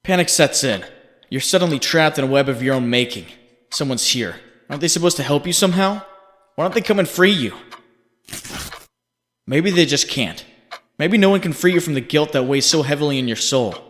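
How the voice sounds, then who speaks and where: A man's voice: on the high side, expressive, a little fast, a bit bright. A man playing a young hero's brooding, guilt-ridden inner voice in a comic dub, sardonic and intense in second-person narration. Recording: long cavernous reverb.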